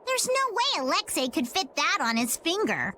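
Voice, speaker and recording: A woman's voice: very high voice, sing-song, normal speed, pushed and bright, slight background noise, heavily acted. A woman voicing a sweet, earnest, sheltered young girl in a video game. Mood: anxious, earnest. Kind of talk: English video game voice acting.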